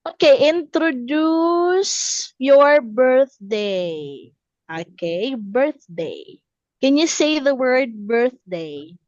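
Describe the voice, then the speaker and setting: A woman's voice: high voice, very animated, extremely slow, darkish tone, no echo, highs cut off. A woman in her thirties teaching a simple English lesson, encouraging, repeating words and checking understanding. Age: 30s.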